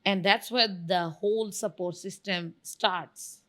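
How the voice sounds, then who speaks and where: A woman's voice: medium pitch, steady tone, slow, sharp tone. Emphatic woman guest. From Bangladesh. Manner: emphatic and enthusiastic, lists examples. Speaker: guest, venture studio founder. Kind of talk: conversational interview answers.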